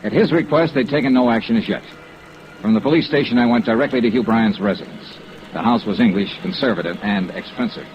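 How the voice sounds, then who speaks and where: A male actor plays a hard-boiled insurance investigator of old radio drama, dogged and clipped. His lowish voice comes through a dull vintage recording, delivering each detail matter-of-factly. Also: brisk, a little hiss or hum, close to an everyday voice. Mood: clipped, businesslike. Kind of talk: 1940s-50s radio detective drama acting.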